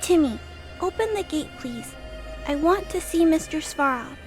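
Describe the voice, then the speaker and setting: A woman's voice: high voice, animated, a little slow, faint music behind. A woman voicing a shy, earnest young girl in a video game, hesitant and polite. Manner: hesitant, soft-spoken, polite.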